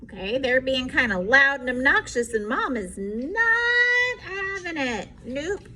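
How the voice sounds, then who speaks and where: High-pitched, animated woman teacher reading aloud. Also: slow pace. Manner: expressive, simple, emphatic teacherly delivery. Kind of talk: animated storybook read-aloud with simple explanations for students.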